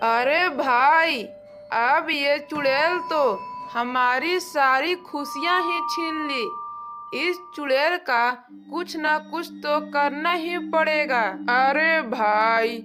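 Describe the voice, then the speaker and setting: A woman's voice: high-pitched, takes it slowly, over music. A woman playing a worried, lamenting villager in a cartoon story. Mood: despairing. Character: worried villagers fretting over a witch. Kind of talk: Hindi cartoon story acting.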